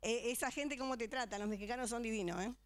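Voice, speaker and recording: An older Argentine woman hosting a studio music show, chatting quickly and effusively. Her voice sits a little high. The sound is dry, close to a handheld mic. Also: no room sound. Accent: Argentine Spanish. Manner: chatty, effusive, talks over the guest. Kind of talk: casual on-air chat.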